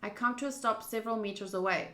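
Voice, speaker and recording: Female game streamer. Expressive read-aloud narration, bright crisp-edged tone, roomy echo on the recording. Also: fairly high pitch, fast talker. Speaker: streamer playing a visual novel. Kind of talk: dramatic read-aloud of game text with casual asides. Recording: roomy, echoing sound.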